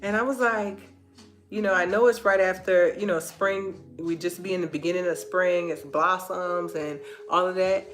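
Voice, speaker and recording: A woman's voice: fairly low pitch, fairly even intonation, moderate pace, soft background music. A woman hosting an inspirational faith-based livestream, earnest and repeating phrases for emphasis, her nose stuffy and congested. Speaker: host of a live online talk.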